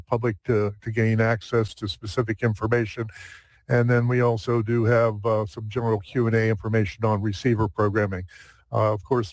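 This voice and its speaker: A man giving an unscripted webinar slide presentation in a halting, hesitant way with many filled pauses. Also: medium pitch, darkish tone, no room sound.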